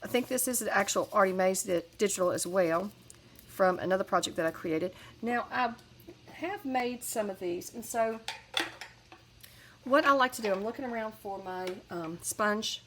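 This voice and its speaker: A woman's voice: medium pitch, normal speed, a bit bright, slight background noise. A woman with a head cold, chatting casually through a crafting tutorial with lots of fillers and restarts. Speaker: crafting channel host.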